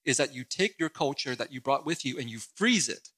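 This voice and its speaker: A man, an author from the United States, explaining his views in an animated, emphatic way during an interview. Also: medium pitch, a little fast, breathy edge. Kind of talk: interview conversation.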